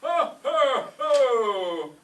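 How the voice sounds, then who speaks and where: Man playing high-voiced grudging school principal, echoey stage. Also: sing-song, very slow, a bit bright, full character voice. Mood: booming forced jolliness. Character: gruff, grudging school principal playing a jolly Santa Claus. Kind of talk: old-time radio comedy acting. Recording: echoey room, distant mic, faint noise.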